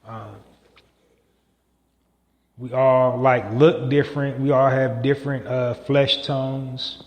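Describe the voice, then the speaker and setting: A man's voice: medium pitch, steady tone, takes it slowly. A man hosting a podcast solo, talking in a loose, rambling way with many fillers and restarts. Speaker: podcast host. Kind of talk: casual solo monologue. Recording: echoey room with long reverb.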